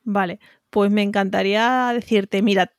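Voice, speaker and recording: A woman's voice: mid-range voice, steady tone, normal speed, no echo, studio quality. Chatty woman with a southern Spanish accent. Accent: southern Spanish, between Extremadura and Córdoba. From Spain, near Extremadura and Córdoba. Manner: chatty, laughs easily, many fillers. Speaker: guest, newsletter and content marketer. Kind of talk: casual interview chat.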